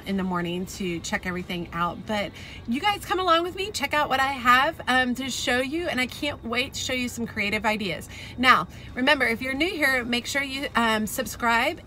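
A woman's voice: fairly high pitch, pushed and bright, a little hiss or hum. A woman in her thirties with a Texan accent, narrating a casual vlog in a chatty, enthusiastic, folksy way. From Texas, United States. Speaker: host of a gardening video channel.

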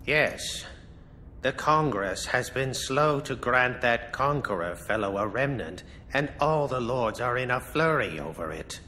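A man's voice: medium pitch, bright, forceful tone, slight background noise. A man acting a wary, dutiful military advisor in a game cutscene, formal and cautioning. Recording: hall reverb, faint music.

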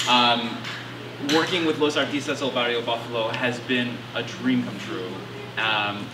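A young man speaks in a polished, articulate way as he welcomes guests to an exhibition. His pitch is ordinary. He is heard from far off in a roomy, echoing space with noise behind him. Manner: polished, warm-worded and articulate. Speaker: gallery curator. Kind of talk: prepared welcome remarks. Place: bright gallery room, indoors. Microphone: stand mic, recorded by a camera from a distance. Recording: echoey gallery from a distance, noisy.

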